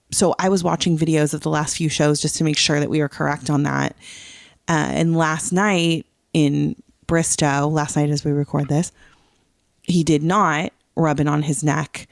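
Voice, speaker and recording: A woman's voice: low voice, steady tone, moderate pace, gravelly, no echo, studio quality. A woman co-hosting a casual fan podcast, chatting conversationally with plenty of "like" thrown in. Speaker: podcast co-host.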